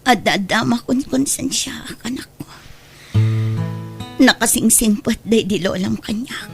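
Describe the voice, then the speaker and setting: Radio drama part: actress as a guilt-ridden mother, high and creaky, guitar music clearly behind. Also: unhurried. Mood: subdued guilt. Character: remorseful village mother, guilt-ridden, mournful. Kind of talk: Ilocano radio drama acting. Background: plucked guitar music.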